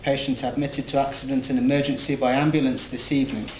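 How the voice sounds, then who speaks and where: A man's voice: mid-range voice, level tone, quick pace, lightly acted. A man reading a late-night radio DJ. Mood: flat. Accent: Northern English. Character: male radio DJ, newsreader and doctor. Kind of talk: scripted audio drama reading. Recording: dull lo-fi roomy recording, faint hiss.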